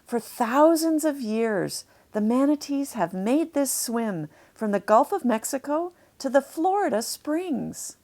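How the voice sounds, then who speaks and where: A woman narrating a children's storybook, deliberately explaining things to young listeners as she reads. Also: sits high, very animated, dark tone.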